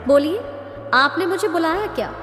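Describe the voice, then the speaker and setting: A woman's voice: very high voice, animated, normal speed, a bit bright. A woman playing a supportive, caring village wife in a cartoon story, polite and encouraging. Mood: attentive, helpful. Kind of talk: Hindi moral-story cartoon voice acting. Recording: echoey reverb with faint music.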